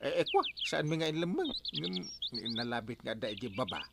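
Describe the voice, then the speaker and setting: A man playing a bumbling, teasing villager in a comedy drama, stammering and excitable. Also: medium pitch, a little fast, creaky. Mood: bumbling, evasive. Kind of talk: broadcast comedy drama acting. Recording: loud background noise with birdsong.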